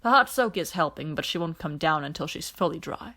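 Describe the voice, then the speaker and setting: A woman voicing a weary, dryly teasing alien man in an audiobook, with a measured, quiet delivery. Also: low-pitched, expressive, exaggerated voice. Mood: weary, wry. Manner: dry, measured, quiet explanations.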